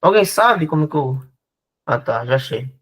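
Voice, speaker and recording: Chatty man, slightly high voice. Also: animated, normal speed, fairly bright tone, breathy edge. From Rio de Janeiro, Brazil. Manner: chatty, frequent restarts and tag questions. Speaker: history teacher giving a talk. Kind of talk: informal lecture on a video call. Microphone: video call microphone.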